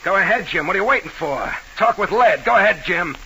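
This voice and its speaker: A man's voice: high-pitched, expressive, fairly quick, pushed and bright, breathy edge, heavily acted, low-quality recording. A man playing a wisecracking, skeptical gangster club boss with brash slang in an old-time radio mystery. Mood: goading. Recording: hissy vintage radio recording.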